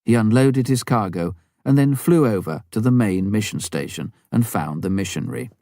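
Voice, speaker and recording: A man narrates a memoir in a lowish voice. His scripted reading is measured and clearly phrased, yet the intonation rises and falls a great deal. The sound is dry, with little room in it. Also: animated, warm tone, no echo. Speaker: audiobook reader.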